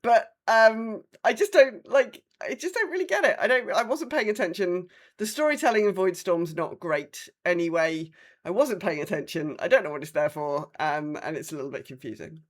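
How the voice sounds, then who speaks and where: A woman's voice: lowish voice, expressive, moderate pace. A woman co-hosting a podcast in casual chat, musing aloud with plenty of hedging and mild bemusement. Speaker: podcast co-host. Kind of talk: casual podcast chat about a video game.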